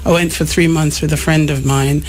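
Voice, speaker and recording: A woman's voice: low voice, steady tone, fairly quick. A woman, born in Jamaica, talking reflectively as a studio guest on a radio show. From born in Jamaica, raised in England. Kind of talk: conversational interview.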